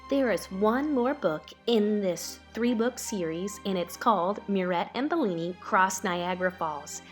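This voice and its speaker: A woman reading a children's picture book aloud as a storyteller, with measured, deliberate and dramatic phrasing. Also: medium pitch, soft background music.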